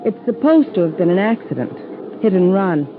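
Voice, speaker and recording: A woman's voice: lowish voice, sing-song, moderate pace, noisy background. An actress playing a young office assistant in a 1950s radio drama, earnest and emotional in her delivery. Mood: friendly, guarded. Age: young adult. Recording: muffled vintage radio recording.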